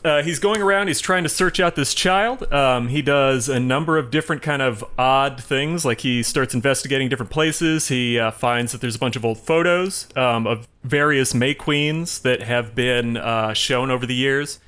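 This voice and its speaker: A man co-hosting a casual film discussion, explaining things in a halting, rambling way. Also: mid-range voice, a bit bright, clean tone. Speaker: podcast co-host giving a film rundown.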